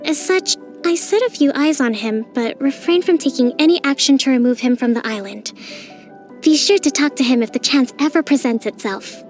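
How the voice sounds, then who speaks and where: Game character: a composed young woman priestess-tactician, high and gentle, with faint music underneath. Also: animated, normal speed. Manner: gentle, composed, softly playful. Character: young female island priestess and strategist, calm and composed. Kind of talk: game voice lines.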